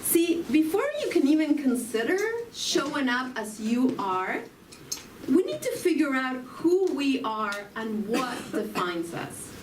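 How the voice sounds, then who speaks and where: A woman with an Argentine Spanish accent giving an inspirational sermon, slow and reflective, asking her listeners questions. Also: sits high, big pitch swings, a little hiss or hum. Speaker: spiritual talk speaker. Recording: roomy, from far off, faint ambience.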